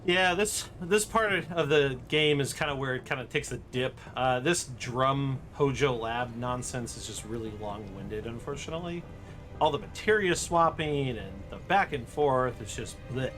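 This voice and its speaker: A man's voice: mid-range voice, normal speed, fairly bright tone. A man giving casual, chatty live commentary as a video game streamer, informally talking to viewers. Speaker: streamer, speedrunner. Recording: game music behind the voice. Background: video game music.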